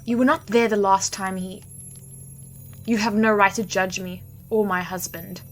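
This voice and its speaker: A woman's voice: medium pitch, steady tone, a little slow, faint music behind, lightly acted. An actress voicing Aerith, a proud and vengeful woman judge who speaks haughtily. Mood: haughty.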